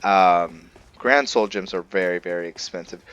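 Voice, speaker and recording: A man's voice: low-pitched, moderate pace, fairly bright tone, clean tone, lo-fi sound. A man giving casual commentary as he plays a video game, musing aloud in a laid-back way. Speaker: host of a video game roleplay series. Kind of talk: casual let's-play commentary.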